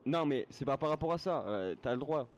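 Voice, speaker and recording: A man's voice: medium pitch, lively intonation, fairly quick. A man moderating an online roleplay game, explaining casually and insistently, often repeating words. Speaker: game server staff moderator. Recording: dull lo-fi voice chat.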